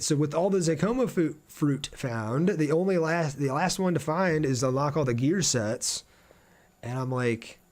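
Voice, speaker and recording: A man livestreaming a video game, chatting casually with viewers and thinking aloud as he plays. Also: mid-range voice. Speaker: game streamer. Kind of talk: casual livestream gameplay commentary with chat replies.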